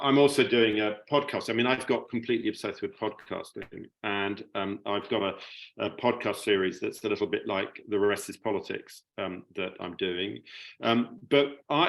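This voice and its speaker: A man's voice: on the low side, gravelly, a bit bright, poor audio. A middle-aged man in an informal panel discussion, speaking hesitantly and often repeating his words. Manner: hesitant, stammering repetitions of words. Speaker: media producer, panellist.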